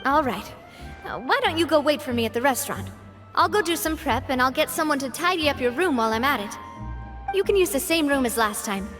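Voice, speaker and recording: A woman's voice: sits high, lively intonation, a little fast, music in the background. A woman playing a cheerful, kindly young woman who leads her people, friendly and teasing, in a video game.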